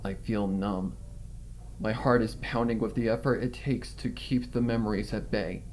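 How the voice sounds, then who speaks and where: A man's voice: lowish voice, steady tone, moderate pace, a little mellow, a little hiss or hum, natural voice. A man reading a horror fan fiction as a frightened, guilt-ridden female pony, in a shaky, dramatic manner. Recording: music under the reading.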